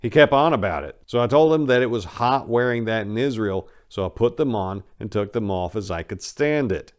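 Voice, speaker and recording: Male memoir narrator with a fairly low voice, dramatic reading style, punching key words and letting asides fall away. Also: lively intonation, moderate pace, clear, resonant tone.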